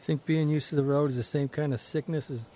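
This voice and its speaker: An actor playing a restless, good-humored newspaper columnist who talks in folksy banter. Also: male voice, fairly high pitch, steady tone, fairly quick, dark tone, a little hiss or hum, natural voice. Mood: easygoing. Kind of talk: audio drama acting.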